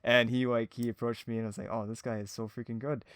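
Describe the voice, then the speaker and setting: A man with a smooth, clear, mid-pitched voice talks casually as a podcast guest in a dry, close recording. His phrasing is hesitant and self-deprecating. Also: level tone, quick pace, no echo. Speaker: podcast guest, audio drama creator. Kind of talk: casual chat.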